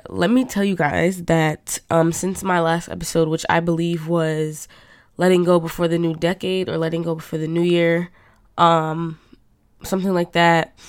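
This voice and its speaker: Young woman host, low-pitched, nasal and congested from a cold, casual rambling chat, dry close sound. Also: clear, resonant tone, no echo. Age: young adult. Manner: rambling, chatty, lots of fillers. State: stuffed up from a cold. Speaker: podcast host. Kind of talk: casual monologue.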